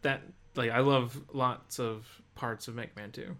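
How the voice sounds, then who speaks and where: A man co-hosting a casual video game podcast, speaking in a halting, deliberate way as he thinks aloud. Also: medium pitch, fairly even intonation, bright, forceful tone. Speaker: podcast co-host.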